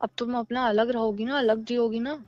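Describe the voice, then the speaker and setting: High-voiced woman reasoning on a muffled call. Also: fairly even intonation, moderate pace, dry recording. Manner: persuasive, reasoning, repeats phrases to get a word in. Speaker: caller in a private phone conversation. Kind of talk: casual phone chat. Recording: dull lo-fi phone call recording, music behind.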